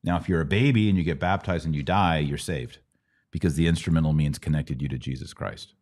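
Very deep-voiced American man, teacherly. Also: fairly quick, a little mellow. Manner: didactic, checking with rhetorical questions. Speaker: podcast host answering religious questions. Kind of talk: conversational Q&A teaching.

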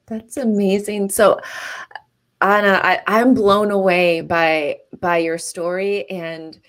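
A woman's voice: mid-range voice, level tone, slow pace. A woman co-hosting an interview podcast, responding enthusiastically to her guest. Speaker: podcast co-host. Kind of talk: interview conversation.

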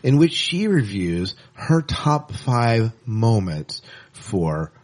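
Lively, slightly husky male host. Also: on the low side, extremely slow. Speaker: podcast host. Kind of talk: casual interview.

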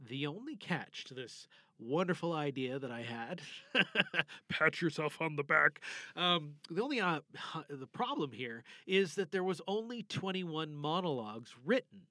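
Male podcast host, casual and enthusiastic, slightly higher-pitched voice, emphatic delivery, very dry sound. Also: sing-song, measured pace, sharp tone, no room sound.

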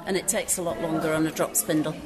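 A woman's voice: sits low, fairly even intonation, fast talker. A woman, a knitter and spinner, answering questions plainly at an outdoor festival. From Leeds, England. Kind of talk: casual interview chat. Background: crowd chatter.

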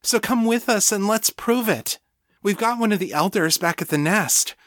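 A man's voice: high voice, expressive, normal speed, fairly bright tone, dry recording, clean studio sound, pitched above the performer's own voice. A man voicing an anxious woman in an audiobook story, earnest, urgent and hesitant as a pleading friend.

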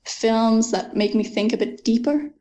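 A woman's voice: on the high side, measured pace, warm tone. A young woman, an author answering interview questions thoughtfully and enthusiastically, with frequent ums.

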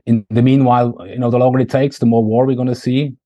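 Husky Swiss-accented man, halting. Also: mid-range voice, little pitch movement, dark tone, muffled recording. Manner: halting, many fillers and restarts. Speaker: guest. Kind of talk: conversational interview.

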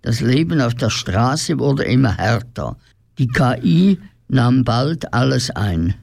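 A man's voice: mid-range voice, big pitch swings, slow, creaky, darkish tone, dry recording, clean studio sound. A man narrating a scripted radio drama as its storyteller.

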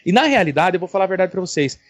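A man's voice: sits high, expressive, rapid-fire, dry recording, clean studio sound. A man with a Brazilian accent chatting casually about films on a podcast, blunt and opinionated, swearing freely. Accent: Brazilian Portuguese. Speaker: podcast panelist. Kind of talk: casual film chat.

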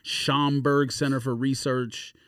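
A man's voice: mid-range voice, little pitch movement, slow pace, fairly bright tone, no room sound, studio quality. A man co-hosting a podcast, casually reading out a list with joking asides. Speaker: podcast co-host reading out sneaker releases. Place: dry studio.

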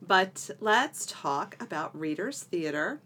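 A woman's voice: on the low side, expressive, takes it slowly, fairly bright tone, slight background noise. Playful middle-aged woman co-hosting a podcast. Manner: playful, bantering. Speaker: podcast co-host. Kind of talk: casual, chatty podcast talk.

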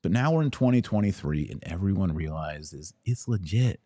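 A man's voice: low-pitched, animated, moderate pace, warm tone, dry recording, clean studio sound. An American man hosting a casual livestream, chatting informally with playful slang as he answers viewers. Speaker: podcast host.

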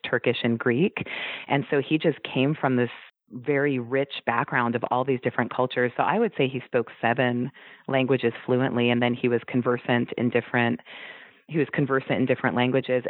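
A man's voice: mid-range voice, no room sound. A man being interviewed, fondly telling anecdotes about his late father. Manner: fond, anecdotal storytelling. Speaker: interview guest, child of a wrestler. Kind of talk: reminiscing interview answers. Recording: tinny phone line, dry.

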